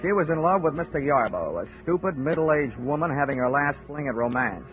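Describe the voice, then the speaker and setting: A man's voice: fairly high pitch, expressive, a little fast, faint music behind, close to an everyday voice. An actor playing a wisecracking, hard-boiled male insurance investigator, sardonic and tough, in 1940s radio noir style. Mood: wry narration. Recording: tinny 1940s radio recording.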